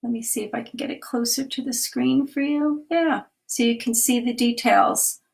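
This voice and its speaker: A woman, a children's author, reading her picture book aloud in a livestream with clear, expressive delivery and chatty asides. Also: a little high, moderate pace. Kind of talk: children's storytime read-aloud. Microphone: webcam or phone for a livestream.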